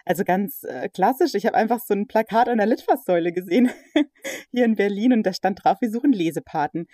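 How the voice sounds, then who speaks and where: A woman guest in a casual interview, talking in a relaxed, rambling way with many fillers. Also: mid-range voice, no echo, clean studio sound. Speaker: guest, magazine editor.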